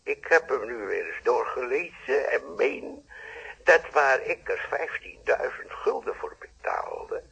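Smooth blackmailing moneylender, gravelly husky voice, Netherlands Dutch accent, measured false courtesy, radio drama. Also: male voice, medium pitch, big pitch swings, sharp tone, heavily acted. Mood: insistent, calculating. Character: smooth blackmailing male moneylender, coolly insistent. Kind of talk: radio play acting. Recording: dull, band-limited old radio play.

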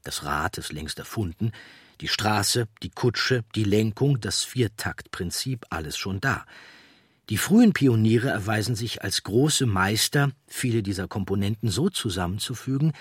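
Male documentary narrator, low and slightly rough voice, deliberate scripted delivery. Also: animated, dry recording, clean studio sound. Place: dry studio.

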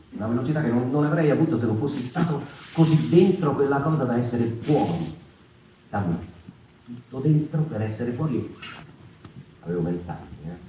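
Male actor as impassioned, musing stage performer, fifties, low voice, halting delivery; very reverberant, distant room. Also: mellow, a little hiss or hum, lightly acted. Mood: fervent, swelling. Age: 50s. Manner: reflective, halting, repeats words and phrases. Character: impassioned fifty-year-old stage performer musing on freedom. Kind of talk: intimate theatrical monologue.

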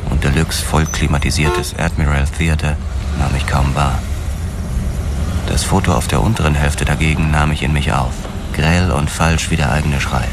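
A man's voice: a bass voice, very noisy. An actor playing a driven, obsessive police detective who narrates his investigation in a terse, intense radio drama style. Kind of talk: hardboiled first-person radio drama narration. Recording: music under the voice.

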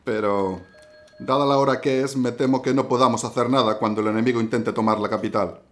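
An actor playing a dutiful, resigned naval lieutenant in a historical audio drama, formal and orderly. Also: male voice, mid-range voice, fairly even intonation, fairly quick, fairly bright tone, soft background music, natural voice.

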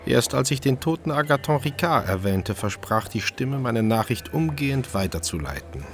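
A man's voice: mid-range voice, very animated, moderate pace. An actor playing a male restaurant chef who narrates his own crime story in a wry, observant way, in a radio drama. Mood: wry, reflective. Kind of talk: German radio drama, first-person narration and dialogue.

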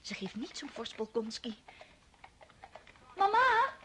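A woman's voice: high-pitched, sing-song, slow pace, a little hiss or hum, full character voice. An actress playing an impulsive, lovesick young girl in a radio play, often whispering her thoughts to herself. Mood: whispering, wistful. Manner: hushed whispered inner monologue. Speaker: countess's daughter.

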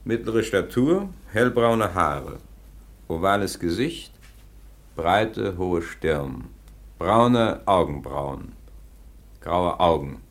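An actor playing a gruff, impatient nineteenth-century police sergeant in a radio drama, brusque and commanding. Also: male voice, low-pitched, expressive, very slow, pauses often, full character voice. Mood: dry, methodical. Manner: brusque, commanding, officially clipped. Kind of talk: German radio drama acting.

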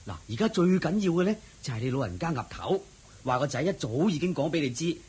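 A man's voice: fairly high pitch, very animated, quick pace, darkish tone, husky, heavily acted. A man playing an eager, deferential go-between in a Cantonese radio drama, busy in manner, with a Hong Kong accent. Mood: reassuring. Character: eager, fussy servant go-between called Ah Yau.

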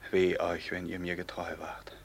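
An actor playing a tormented, brooding robber captain in a classical radio play, with solemn theatrical diction that swings from hushed to outcry. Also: male voice, low voice, completely flat delivery, normal speed, gravelly. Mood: grave, hushed. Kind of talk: classical German radio play acting, declamatory stage diction.

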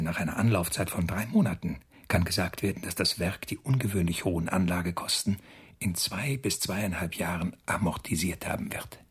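Casting: cold, zealous Nazi official, radio play; low gravelly register, rough husky tone. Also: male voice, heavily acted. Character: calculating male Nazi camp functionary, scornful, zealous, cold. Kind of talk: German radio drama acting.

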